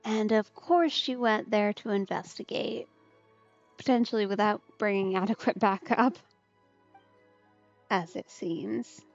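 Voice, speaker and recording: A woman's voice: mid-range voice, a little slow, vocal fry, pauses often, dry recording. A woman streamer giving casual game commentary in an understated, wry way. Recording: slightly dull mic, music behind. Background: game music.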